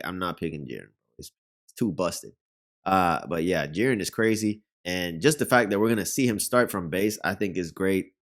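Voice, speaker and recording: A man with a Puerto Rican Spanish accent and Spanish as his first language, chatting loosely about a fighting game as a reaction host. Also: sits low. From Puerto Rico. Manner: chatty, rambling, uses many fillers. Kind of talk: casual gaming commentary.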